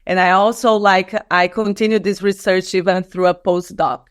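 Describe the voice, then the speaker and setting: A woman in her mid-thirties speaks English with a Brazilian Portuguese accent at a medium pitch. She talks as an interview guest, telling her story and explaining her research in an earnest, conversational way, with slightly non-native phrasing. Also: fairly even intonation, moderate pace, clear, resonant tone. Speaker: guest, skin and stem cell researcher.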